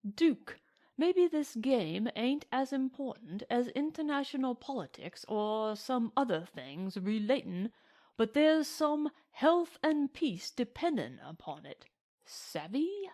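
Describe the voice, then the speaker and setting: A woman reading a storybook and voicing a sly cowboy, folksy and mock-courteous with quiet menace. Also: fairly high pitch, very animated, takes it slowly, voice pushed up, heavily acted. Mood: mock-polite menace. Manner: folksy Western drawling phrases, mock-courteous. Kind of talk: storybook character voice in narration.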